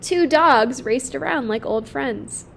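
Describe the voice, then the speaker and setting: A woman's voice: sits high, slow pace, creaky, slight background noise, heavily acted. A woman voicing a lonely, timid little boy in a picture book, wistful and earnest. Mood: tentatively pleased. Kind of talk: children's picture book read-aloud.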